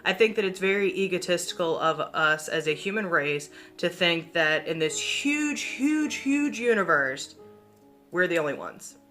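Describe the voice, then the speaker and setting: A young woman talks to her viewers like a chatty YouTube host. Her voice is slightly low for a woman, with a bright, forward edge. She keeps it informal, leaning hard on key words and swearing casually, over faint background music. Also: animated, unhurried. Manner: informal, swears casually, emphatic word repetition. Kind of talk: casual chatty commentary to viewers on internet mysteries.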